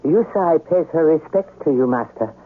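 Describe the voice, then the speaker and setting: A man's voice: fairly high pitch, animated, normal speed, slight background noise, lightly acted. A man playing a loyal male servant in a radio drama, hesitant, deferential and fearful. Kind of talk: old-time radio drama acting. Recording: tinny, narrow old radio recording.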